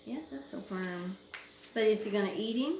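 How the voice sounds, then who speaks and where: A woman's voice: medium pitch, moderate pace, creaky. A woman, a mother, reading along casually with her young child and asking simple, prompting questions. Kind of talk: casual read-along chat with a small child. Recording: roomy, noisy amateur home recording.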